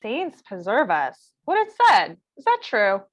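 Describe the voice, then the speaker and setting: A woman playing an excitable, goading coworker in a 1950s-style radio drama. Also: medium pitch, unhurried. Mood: amazed. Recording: dull, band-limited sound.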